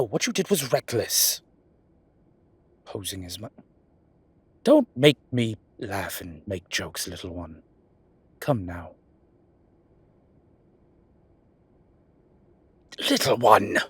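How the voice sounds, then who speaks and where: Male actor as a sardonic vampire deacon for roleplay audio: lowish, husky, theatrical, protective and dryly witty. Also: very animated, slow, long pauses, no echo, heavily acted. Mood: exasperated scolding. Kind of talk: ASMR roleplay audio drama addressed to the listener.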